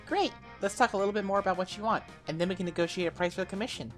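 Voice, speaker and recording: A woman voicing a wry, patient young monster girl with an expressive, slightly dry delivery in an amateur game dub. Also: medium pitch, rapid-fire, soft background music, close to an everyday voice. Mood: wry, friendly. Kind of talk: amateur visual novel dub.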